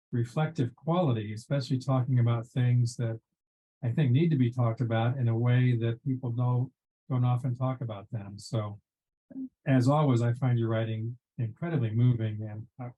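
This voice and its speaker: A man in an audience giving appreciative feedback in a measured, earnest manner. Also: medium pitch, fairly even intonation, dark tone, muffled recording. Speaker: audience member.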